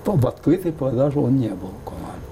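An older man from Baku, raised speaking both Azerbaijani and Russian, thoughtfully recalling the past in an interview with many pauses and fillers. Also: medium pitch, very animated, dark tone, a little hiss or hum. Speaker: interview guest. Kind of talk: reflective interview talk.

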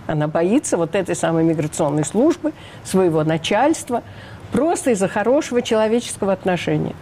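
A woman's voice: mid-range voice, very animated, a little fast, mellow, slight background noise. An older woman speaking as an interview guest, emphatic and indignant, often asking rhetorical questions. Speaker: interview guest, refugee rights advocate. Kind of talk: radio interview.

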